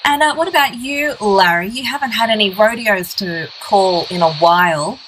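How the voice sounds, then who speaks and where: A woman's voice: medium pitch, moderate pace, fairly bright tone, slight background noise, low-quality recording. An Australian woman hosting a casual online chat with an upbeat questioning manner. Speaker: host.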